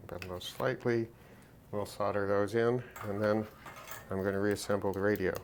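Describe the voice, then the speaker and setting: A man casually narrating a hands-on repair demonstration, thinking aloud in an unhurried way as he works. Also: lowish voice, flat delivery, a little hiss or hum. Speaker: repair video host.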